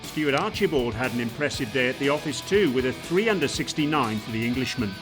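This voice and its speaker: Lively male sports narrator over guitar. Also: mid-range voice, brisk. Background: guitar music.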